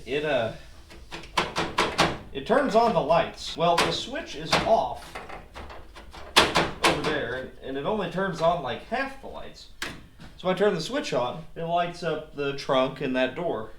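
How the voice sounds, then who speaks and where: A man's voice: on the high side, sing-song, slow, long pauses. A man giving casual, hands-on how-to narration in a wry, self-deprecating, folksy way while working on a car. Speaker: DIY car repair video host. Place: garage workshop. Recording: echoey, distant mic, clearly noisy.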